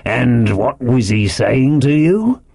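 Man voicing a hearty, gravelly old-time sailor landlord. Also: medium pitch, sing-song, no echo, heavily acted. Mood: stern, bullying. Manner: loud, emphatic, drawn-out old sailor's speech. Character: hearty, commanding one-legged seafaring tavern landlord, sly and stern. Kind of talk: audiobook character voice.

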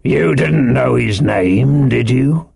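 A man voicing a hearty, commanding seafaring tavern landlord, loud and emphatic with drawn-out words, in an audiobook. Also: mid-range voice, sing-song, creaky, slightly rough, dry recording, clean studio sound, voice pushed up, exaggerated voice. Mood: stern, bullying. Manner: loud, emphatic, drawn-out old sailor's speech. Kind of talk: audiobook character voice.

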